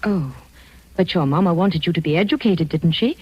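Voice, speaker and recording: An actress playing a genteel, soothing, gently probing family friend in an old-time radio drama, speaking earnestly and coaxingly. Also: female voice, fairly low pitch, moderate pace, slightly rough. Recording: dull old radio recording, faint music.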